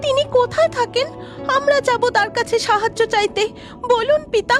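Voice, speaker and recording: Woman voicing a very high, eager mermaid princess. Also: moderate pace, over music. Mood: pleading. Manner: pleading, earnest, dramatic.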